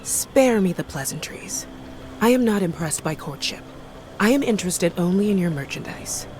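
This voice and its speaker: An actress playing a countess's cold, haughty servant in a medieval video game, blunt and formal. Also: female voice, fairly low pitch, animated, moderate pace, darkish tone, faint music behind, natural voice. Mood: cool, haughty. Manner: aloof, formal.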